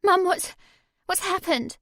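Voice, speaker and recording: A woman voicing a desperate pregnant teenage girl who cries and shouts. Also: sits high, level tone, a little slow, no room sound, clean studio sound, pitched above the performer's own voice. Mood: distressed. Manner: shouting, tearful.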